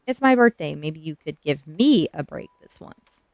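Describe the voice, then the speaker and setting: A woman's voice: low-pitched, lively intonation, normal speed, creaky, no echo, voice pushed down. A woman voicing a rebellious, sardonic sixteen-year-old goth girl in an audiobook, dry and defiant. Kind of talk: audiobook character voice.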